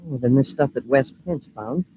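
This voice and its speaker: A man's voice: mid-range voice, normal speed, a little hiss or hum, close to an everyday voice. An actor playing an eager, inquisitive young space cadet sidekick in an old-time radio serial, earnest and deferential to his superior. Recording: muffled old radio recording.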